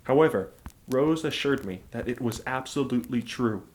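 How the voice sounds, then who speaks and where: A man's voice: medium pitch, lively intonation, moderate pace, close to an everyday voice, rough recording. A man playing a humbled, devout young man recounting events by letter in an audio drama. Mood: humbled, reflective. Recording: clear background noise.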